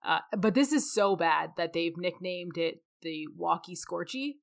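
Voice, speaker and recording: A woman's voice: on the low side, animated, unhurried, creaky. A woman co-hosting a conversational podcast, speaking in an opinionated, emphatic way. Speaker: podcast co-host.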